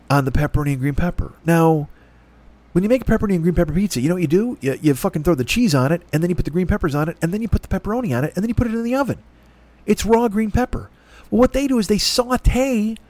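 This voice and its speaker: A man's voice: high voice, darkish tone, no echo. A middle-aged man from Chicago with a Chicago accent, telling a long exasperated story in a heated, profane rant. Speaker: podcast host.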